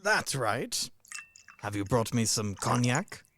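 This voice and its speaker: An actor playing a blustering, old-fashioned middle-aged army major and husband in a radio play, blunt, pompous and grumbling. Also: male voice, mid-range voice, big pitch swings, takes it slowly, noisy background. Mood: gruffly genial.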